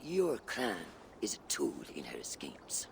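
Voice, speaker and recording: Very deep, gravelly conspirator. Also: male voice, huge pitch swings, noisy background, heavily acted. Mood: conspiratorial. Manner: formal, deliberate. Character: shrewd, secretive warrior ally offering a pact. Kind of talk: dramatic video game acting.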